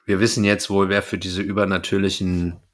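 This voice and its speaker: A man's voice: deep voice, flat delivery, brisk. A man co-hosting a podcast, casually recapping and reviewing in a loose, conversational way. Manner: conversational, loosely retelling from notes. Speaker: podcast co-host.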